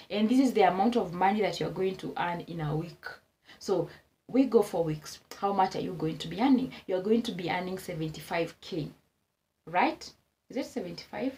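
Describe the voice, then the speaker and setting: A woman's voice: medium pitch, a little mellow. A Kenyan woman vlogging casual advice to camera, repeating her points for emphasis and exclaiming as she goes.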